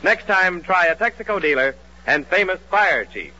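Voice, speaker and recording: A man's voice: sits high, expressive, normal speed, pushed and bright. An American man reading a commercial as an emphatic, salesmanlike 1940s radio announcer. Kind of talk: 1940s radio advertising read. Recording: dull old radio broadcast.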